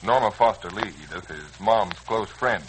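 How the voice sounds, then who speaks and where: An actor with an American accent playing an earnest, slangy, hot-headed young son in a 1940s radio serial. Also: male voice, fairly low pitch, moderate pace, a bit bright, a little hiss or hum, close to an everyday voice, poor audio. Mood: wry, chatty. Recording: old, rough radio recording.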